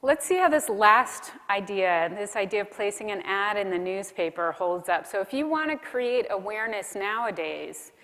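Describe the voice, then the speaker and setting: A woman's voice: mid-range voice, fairly bright tone. A woman giving a conversational conference keynote, asking the audience questions as she goes. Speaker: keynote speaker. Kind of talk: conference keynote talk on content marketing. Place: large conference hall. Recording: long hall reverb.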